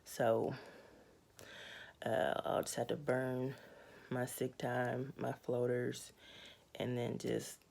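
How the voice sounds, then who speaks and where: A young adult woman with a raspy, hoarse voice, giving a candid, matter-of-fact personal health update to her viewers. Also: very deep voice, little pitch movement, takes it slowly, long pauses, a little mellow. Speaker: vlogger.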